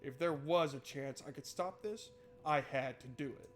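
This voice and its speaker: A man's voice: mid-range voice, moderate pace, faint music behind. A man doing a playful, wry let's play, switching between reading game text aloud and joking commentary. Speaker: gaming streamer.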